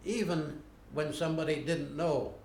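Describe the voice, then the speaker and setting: Older man, interviewee; plainspoken and unhurried, recorded on a roomy camera mic. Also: a little high, steady tone, gravelly, darkish tone, a little hiss or hum. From United States. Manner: unhurried, plainspoken, frequent uh pauses. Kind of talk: unscripted recollection. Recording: roomy home video recording.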